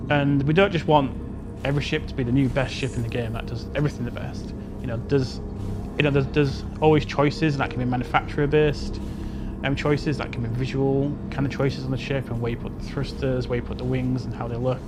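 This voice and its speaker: A man's voice: medium pitch, fairly even intonation, brisk, noisy background. A man giving an unscripted interview answer as a game developer, explaining in a hedging way and often repeating "you know".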